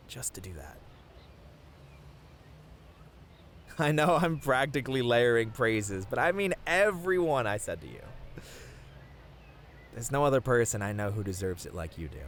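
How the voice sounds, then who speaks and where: Low-voiced tender boyfriend, acted by a man. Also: a little hiss or hum. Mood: sincere, devoted. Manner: soft, earnest, hesitant with small stammers. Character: tender, sappy boyfriend confessing love on a picnic. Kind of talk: romantic ASMR roleplay, addressed to the listener.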